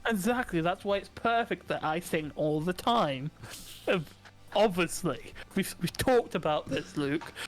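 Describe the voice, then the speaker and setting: A man's voice: high-pitched, lively intonation, measured pace, soft background music, rough recording. A young man co-hosting a podcast from his desk at home, joking crudely and laughing often. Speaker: podcast co-host. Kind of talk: casual banter.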